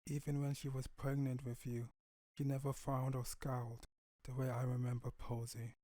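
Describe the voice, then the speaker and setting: A man's voice: medium pitch, flat delivery, moderate pace, mellow, no room sound, natural voice. A man voicing a tender, emotional pony stallion, a young father, in a dramatic story reading. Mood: somber, fond.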